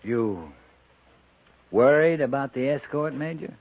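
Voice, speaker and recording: A man playing a steady cavalry captain in a 1950s radio western, laconic, dutiful and understated. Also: mid-range voice, slow pace. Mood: wry. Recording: muffled vintage 1950s radio recording.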